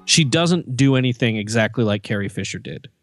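A man talks casually in a podcast discussion. His voice is of average male pitch, and the recording is dry with no room sound. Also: lively intonation, brisk, dry recording, soft background music. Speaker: podcast speaker.

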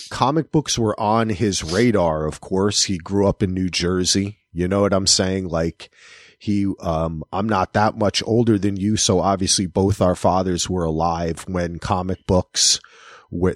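A man's voice: low-pitched, dry recording, clean studio sound. A man in his forties hosting a podcast, chatting loosely with trailing sentences. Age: 40s. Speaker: podcast host. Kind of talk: casual podcast chat.

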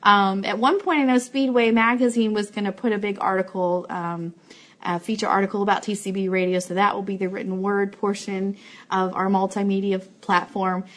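A woman's voice: medium pitch, flat delivery, normal speed. A woman hosting a fan live show, chatting informally to viewers as she makes announcements and thanks them. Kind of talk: casual livestream announcements to viewers. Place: office.

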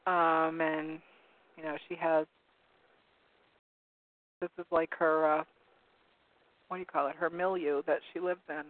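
A hoarse man losing his voice, hosting a call-in talk show in a rambling, casual way while reading from web pages. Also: on the high side, little pitch movement, unhurried, long pauses, dry recording. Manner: rambling, searching for words with many ums. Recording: narrow phone-like line, dry.

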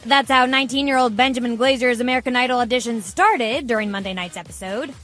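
A woman's voice: fairly high pitch, fast talker. A woman reading scripted narration as an entertainment news reporter. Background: music under the voice.

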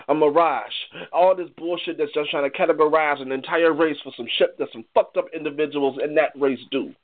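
A man performing spoken word poetry in an emphatic, confrontational manner full of rhetorical questions. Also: a little high. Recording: thin, phone-like band-limited sound.

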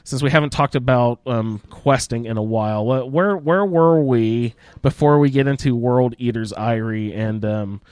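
A man hosting a casual gaming roundtable podcast, speaking in halting phrases with searching pauses. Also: medium pitch, darkish tone, dry recording. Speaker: podcast host. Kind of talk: casual roundtable chat about a video game.

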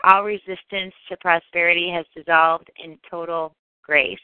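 Low, smooth woman guiding meditation, muffled recording. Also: no echo. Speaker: meditation guide. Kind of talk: guided meditation affirmations, scripted. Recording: dull, band-limited close dry recording.

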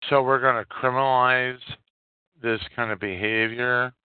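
A man hosting a solo podcast, giving unscripted political commentary in a halting way and repeating phrases for emphasis. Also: medium pitch, steady tone, clean tone, no echo. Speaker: podcast host. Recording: muffled, tinny phone-like sound.

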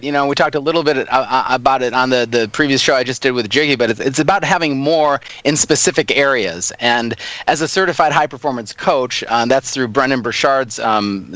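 A man's voice: mid-range voice, no room sound. Middle-aged Midwestern man, keen coach, dry video-call sound. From Minnesota, United States. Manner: energetic, upbeat explaining with frequent "right?" tags. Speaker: guest, performance coach. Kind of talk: radio interview answers over a video call.

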